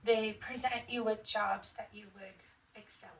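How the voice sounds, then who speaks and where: A woman in her fifties with an American accent, chatting casually and haltingly as she tells her own story in an interview. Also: medium pitch, fairly even intonation. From United States, Nevada and Michigan. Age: 50s. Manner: chatty, often chuckling, halting. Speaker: interviewee, military veteran. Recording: distant mic, faint background noise.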